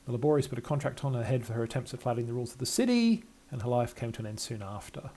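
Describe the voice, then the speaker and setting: An older man giving let's-play commentary, reading game text aloud and thinking aloud in a halting way. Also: mid-range voice, lively intonation, dark tone. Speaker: gamer playing and narrating a game.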